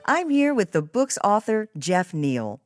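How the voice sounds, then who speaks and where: Lively-toned woman hosting a podcast. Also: medium pitch, unhurried, soft background music. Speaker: podcast host. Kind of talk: scripted podcast narration.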